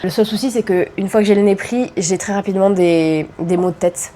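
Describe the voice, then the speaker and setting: A woman's voice: mid-range voice, fairly quick, mellow, a little hiss or hum. A young woman with a cold, chatting casually to camera about her reading, with self-deprecating asides. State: congested with a cold. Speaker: book vlogger. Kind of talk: casual vlog chat.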